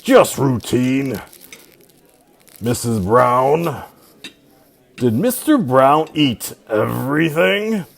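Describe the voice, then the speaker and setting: Man playing a slow-talking, gravelly homicide sergeant. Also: medium pitch, animated, heavily acted. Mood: businesslike. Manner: deliberate, authoritative questioning. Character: brisk, methodical male police homicide sergeant. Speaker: actor. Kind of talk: old-time radio drama acting.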